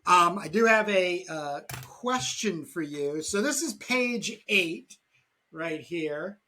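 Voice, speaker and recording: Bright-voiced man chatting on a podcast. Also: high-pitched, expressive, takes it slowly, a little hiss or hum. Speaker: podcast host and comic artist. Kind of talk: casual podcast chat.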